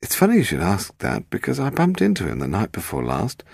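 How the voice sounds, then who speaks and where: A man's voice: fairly low pitch, huge pitch swings, a little fast, gravelly, a little mellow, husky, dry recording, clean studio sound, heavily acted. A man voicing a chatty, slightly flustered book publisher in an audiobook.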